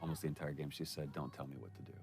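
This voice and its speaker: Very deep-voiced man in casual commentary. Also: level tone, racing, darkish tone, soft background music. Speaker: commentary participant. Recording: noticeable background noise.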